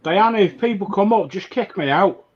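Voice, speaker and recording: A man's voice: sits high, moderate pace, slight background noise. A man chatting casually as a panelist over lo-fi call audio. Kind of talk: casual chat.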